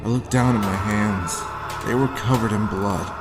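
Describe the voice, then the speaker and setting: An actor playing a grim, haunted war veteran, telling his story deliberately in a noir audio drama. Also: fairly low pitch, steady tone, loud music behind the voice, close to an everyday voice. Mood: somber, haunted. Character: haunted hard-boiled male war veteran recounting his grim past.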